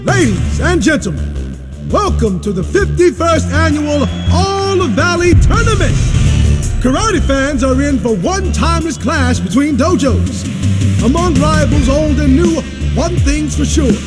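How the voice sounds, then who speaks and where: Bombastic fight announcer, sky-high and animated, milking each phrase for a karate game, over loud music. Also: male voice, heavily acted. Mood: excited hype. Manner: showy, drawn-out hype. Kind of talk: video game tournament announcing.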